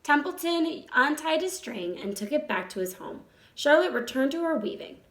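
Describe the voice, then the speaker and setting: A woman reading a children's story aloud with expressive narration. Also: high voice, normal speed. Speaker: storybook reader. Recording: roomy echo.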